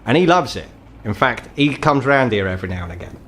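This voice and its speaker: A man's voice: medium pitch, big pitch swings, fast talker, a bit bright, a little hiss or hum. Man playing an embittered, sweary British troll. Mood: bitter. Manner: blunt, sweary, world-weary. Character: embittered ageing crippled troll, gruff underworld fixer, male.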